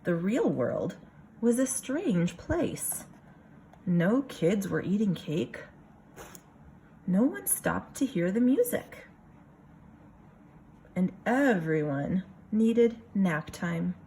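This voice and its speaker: A woman reading a picture book aloud like a kindergarten teacher, expressive, pausing for casual asides to the children. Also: medium pitch, a little hiss or hum.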